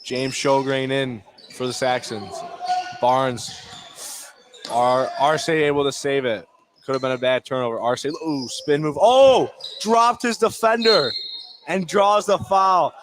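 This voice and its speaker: Male play-by-play announcer, medium pitch, clipped running delivery, clear gym noise and ball bounces behind. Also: slow. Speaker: play-by-play basketball commentator. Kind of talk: live sports radio commentary. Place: indoor basketball gym. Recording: noisy gym ambience behind. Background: basketball bounces, gym noise.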